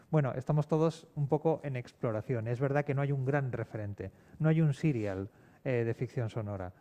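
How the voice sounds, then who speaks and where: Man, Spain accent, mellow tone, mid pitch, informal panel guest, halting self-corrections. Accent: Spanish (Spain). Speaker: panel guest, audio fiction scriptwriter. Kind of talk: unscripted panel discussion.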